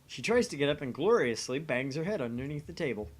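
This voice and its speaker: A man's voice: fairly high pitch, big pitch swings, quick pace. A man reading scripted visual novel narration aloud as the host of a gaming channel.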